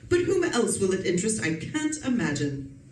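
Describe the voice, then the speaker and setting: A woman's voice: medium pitch, very animated, normal speed, darkish tone, lightly acted. A woman playing a bossy, scolding middle-aged wife in an old-time radio suspense play. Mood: exasperated. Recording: roomy, distant mic, faint noise.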